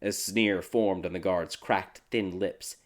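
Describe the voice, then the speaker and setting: A man narrating a dark fairy tale audiobook in a dramatic, scripted storytelling style. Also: low voice, fairly even intonation, takes it slowly. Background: background music.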